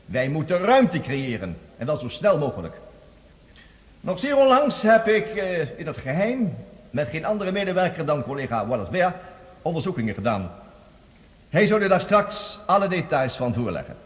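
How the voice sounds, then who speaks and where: A man's voice: fairly high pitch, very animated. An actor playing an eloquent, sardonic scientist-statesman, giving theatrical formal debate speeches in a radio play. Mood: solemn, urgent. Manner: theatrical, oratorical, pompous, with dramatic pauses. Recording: long reverberant hall, radio play production.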